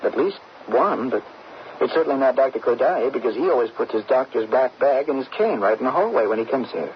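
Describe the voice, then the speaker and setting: An actor playing an anxious, puzzled young student son in an old-time radio drama, speaking haltingly. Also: male voice, mid-range voice, lively intonation, close to an everyday voice. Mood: concerned. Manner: hesitant, halting. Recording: muffled old radio broadcast, faint noise.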